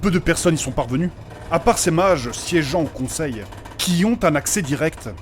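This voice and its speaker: A man playing a formal, pedantic gentleman mage in a comic fantasy audio drama, speaking in precise, old-fashioned phrases. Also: on the high side, expressive, moderate pace, close to an everyday voice. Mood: solemn, explanatory. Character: formal, learned, fussy gentleman mage companion.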